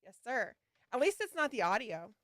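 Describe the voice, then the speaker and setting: A woman hosting a livestream talks in a fairly high, smooth voice, slightly nasal from a lingering head cold. She rambles along in a chatty, scattered way, laughing and swearing casually. The sound is close and dry. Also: big pitch swings, normal speed, a bit bright, dry recording. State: getting over a head cold. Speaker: yarn shop owner hosting a launch livestream.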